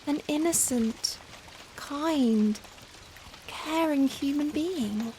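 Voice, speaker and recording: Woman acting a slow, high-voiced, soft young vampire. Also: poor audio. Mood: regretful, pleading. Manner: hesitant, formal, emotional, pleading. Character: young charming Victorian vampire, anguished, tender, self-reproaching. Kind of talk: softly spoken ASMR roleplay acting. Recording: steady rain noise behind. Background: rain falling on a surface.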